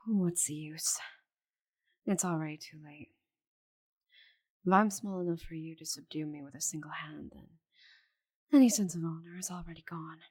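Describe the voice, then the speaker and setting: A woman voicing a proud, stubborn, bitter female dragon in a dramatic audio roleplay, with a breathy voice and haughty, sighing delivery. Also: deep voice, a little slow, pauses often, darkish tone. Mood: bitter, fatalistic. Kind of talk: scripted audio roleplay monologue.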